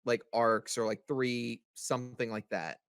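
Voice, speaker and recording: A man's voice: mid-range voice, steady tone, unhurried, fairly bright tone, smooth, no room sound. Casual man with a close dry sound. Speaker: podcast co-host. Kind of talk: casual panel chat about manga.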